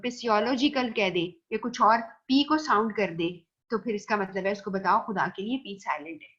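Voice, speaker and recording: A woman's voice: a little high, a bit bright. A woman with a Pakistani Urdu accent giving a recorded classroom lecture, explaining patiently and slipping into English phrases. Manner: explains patiently, mixes English phrases, repeats "theek hai" checks. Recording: dull lo-fi recording.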